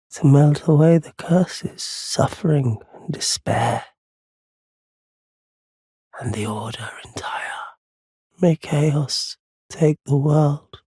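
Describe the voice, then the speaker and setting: An actor playing a weary, courteous wandering merchant in a video game, with a husky, breathy, gravelly voice and measured, formal speech. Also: male voice, on the high side, no room sound. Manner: measured, hushed, formal wording. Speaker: merchant character. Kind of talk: dramatic video game voice acting.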